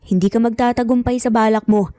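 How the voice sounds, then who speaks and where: A woman's voice: fairly high pitch, steady tone, a little fast, warm tone, dry recording, faint music behind, lightly acted. A woman voicing an angry, defiant captive mother in an audio story, scolding her captor.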